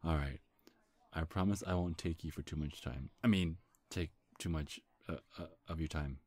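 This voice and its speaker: A man's voice: very deep voice, moderate pace, darkish tone, no echo. A man playing a charming, teasing demon seducer in an intimate ASMR roleplay, sly and flirtatious, with hesitant stammers. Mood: flirtatious, coy. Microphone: close, dry microphone.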